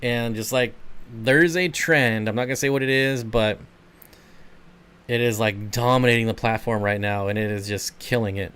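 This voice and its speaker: A man's voice: mid-range voice, steady tone, moderate pace, fairly bright tone, clean tone. A man hosting a casual livestream, answering viewer comments in a laid-back, rambling way and chuckling often. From Michigan. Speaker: channel host.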